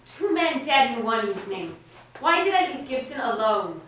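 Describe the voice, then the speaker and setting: A woman's voice: fairly high pitch, sing-song, normal speed, fairly bright tone, slight background noise. A woman playing a troubled, brooding leader of a crime-fighting team in a live radio play. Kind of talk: live radio theatre acting. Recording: very echoey, distant stage pickup.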